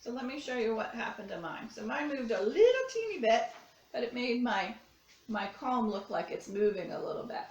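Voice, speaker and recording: A woman's voice: a little high, sing-song, normal speed, a little mellow. A woman art teacher giving children a simple, step-by-step craft demonstration. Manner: simple, step-by-step explaining with repeated phrases. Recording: echoey room, distant microphone.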